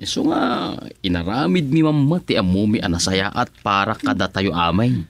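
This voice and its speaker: A man's voice: very animated, normal speed, creaky, no room sound. A man with an Ilocano accent playing a boastful middle-aged village councilman and husband in a radio comedy, exclaiming and chuckling as he talks. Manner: exclaiming, chuckling, swinging pitch.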